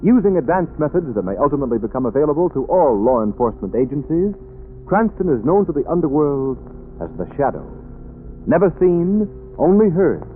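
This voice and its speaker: A man's voice: medium pitch, slight background noise. Declamatory 1930s radio announcer man. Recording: tinny old radio broadcast.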